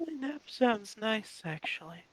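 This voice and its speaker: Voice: medium pitch, animated, unhurried, background noise, voice pushed up, natural voice. A performer voicing a mild, polite elf traveler with a hesitant, understated way of talking. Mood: mild, unsure.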